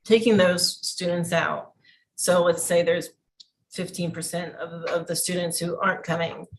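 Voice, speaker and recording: A woman's voice: lowish voice, steady tone, normal speed. A woman speaking as a school board member, putting questions at a public meeting. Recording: clearly noisy meeting livestream with clicks.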